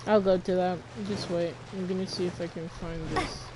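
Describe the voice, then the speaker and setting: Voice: mid-range voice, flat delivery, normal speed. A female streamer chatting casually over gameplay in a slangy, grumbling way. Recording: clear background noise.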